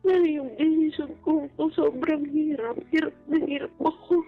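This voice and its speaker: A woman calling in to a radio advice show over the phone, speaking personally and earnestly in a halting, pleading way. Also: high-pitched, gravelly. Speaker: caller seeking relationship advice. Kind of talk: personal, confessional phone-in talk. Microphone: phone call. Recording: tinny phone line with music underneath.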